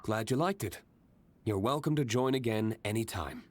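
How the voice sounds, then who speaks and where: An actor playing a reserved, courteous young winery master in a video game, measured and understated. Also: male voice, on the low side, lively intonation. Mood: polite. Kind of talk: video game character voice acting.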